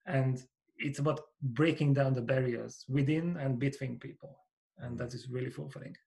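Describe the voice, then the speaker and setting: A man's voice: medium pitch, fairly even intonation, moderate pace, vocal fry, a little mellow. A man from Hungary with a Hungarian accent, a company founder and coach speaking earnestly as a podcast guest, letting his phrases fade at the ends. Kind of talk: podcast interview.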